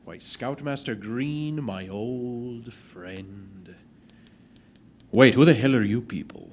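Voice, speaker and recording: Slow, mock-courteous man as a space villain. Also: medium pitch, darkish tone. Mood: taunting. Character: taunting, easily flustered male space villain. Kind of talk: comic retro radio drama acting.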